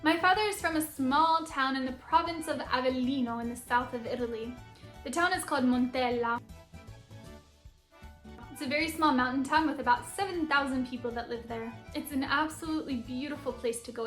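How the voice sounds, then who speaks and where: An enthusiastic young woman from Philadelphia chats brightly to camera about her channel. Her voice sits high and rings around an echoey room. Soft music plays faintly underneath. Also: fairly quick. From Philadelphia, United States. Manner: enthusiastic, bubbly, laughs often. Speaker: vlogger and Italian teacher. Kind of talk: upbeat vlog introduction to camera.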